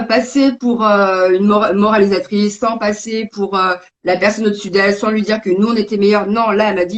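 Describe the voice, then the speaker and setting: Talkative woman on a muffled call. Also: fairly high pitch. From born in Belgium, raised in France. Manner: thoughtful, talkative, many hesitations. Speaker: game creator and entrepreneur. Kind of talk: casual conversation.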